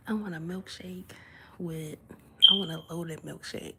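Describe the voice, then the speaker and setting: A young woman live-streaming indoors in someone else's house, chatting casually with her viewers in a hushed, low voice that often drops to a whisper, and acting out the voices in her stories. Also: unhurried, heavy background noise. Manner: animated storytelling, acting out dialogue.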